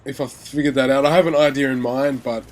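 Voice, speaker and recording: A man's voice: medium pitch, fairly even intonation, quick pace, a little mellow. A young man giving casual gaming commentary, rambling with many fillers and restarts, his nose still stuffy and his voice a little sore from illness.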